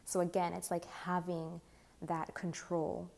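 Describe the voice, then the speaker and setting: A young woman, a bit hoarse, giving a rambling spiritual tarot talk full of "like" and "you know". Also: fairly low pitch, monotone, a little slow, darkish tone. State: slightly hoarse. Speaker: tarot reader. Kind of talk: channeled spiritual monologue.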